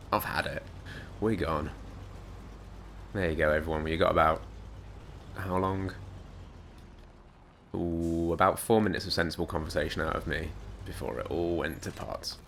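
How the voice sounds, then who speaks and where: A man with a slightly croaky voice, giving rambling, self-mocking gameplay commentary. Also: a bass voice, a bit bright, a little hiss or hum. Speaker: gaming YouTuber.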